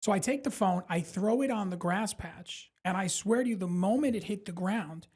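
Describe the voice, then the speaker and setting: Comedian guest, man, New York accent, slightly husky, loose and profane storyteller. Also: sits high, moderate pace. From Brooklyn, New York. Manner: animated, profane, rambling, full of fillers and self-mockery. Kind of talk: casual storytelling in a podcast interview.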